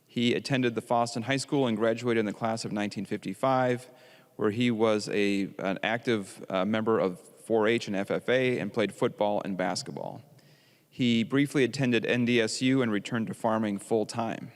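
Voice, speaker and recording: An American man, a legislator, gives a formal memorial tribute in a measured, halting way. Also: fairly low pitch, fairly even intonation. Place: large legislative chamber. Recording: reverberant large chamber hall.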